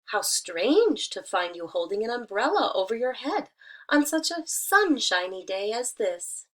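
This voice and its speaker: A woman reads as a friendly, helpful little ape, a gibbon in a children's fable. The delivery is polite and emphatic, with pitch that lifts and dips in a lively way. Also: measured pace, low-quality recording. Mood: curious. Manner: polite, emphatic storybook diction.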